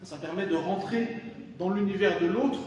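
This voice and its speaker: A man gives a public lecture in a big hall, and his fairly high voice carries a long, booming echo. He sounds distant from the microphone, with a faint hiss behind him. He speaks thoughtfully, pausing often and restarting phrases. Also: a little mellow. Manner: thoughtful, many hesitations and restarts. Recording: echoey hall from far off, faint noise.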